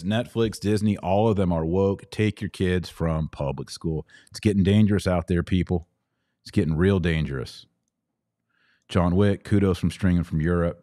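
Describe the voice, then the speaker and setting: A middle-aged American man with a deep voice talks as a livestream host answering his audience. His delivery is casual yet emphatic and opinionated, built on short phrases that he likes to repeat. The sound is dry and close, with very little room around it. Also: mellow, no room sound. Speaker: podcast host taking live audience questions. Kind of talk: casual livestream monologue, reading and answering comments.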